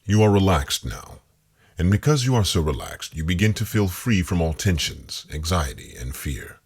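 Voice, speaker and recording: A man guiding a hypnotic meditation, reading scripted suggestions in a calming, deliberate manner. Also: deep voice, breathy edge. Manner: slow-phrased, calming, deliberate, repetitive suggestion. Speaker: hypnosis guide.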